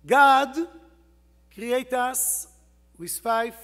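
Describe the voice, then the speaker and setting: A man with an Israeli accent, a native Hebrew speaker, giving a formal acceptance speech as a rabbi in slow, halting English with loud emphatic bursts. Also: very high-pitched. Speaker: rabbi receiving an award. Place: large hotel ballroom. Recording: reverberant banquet hall.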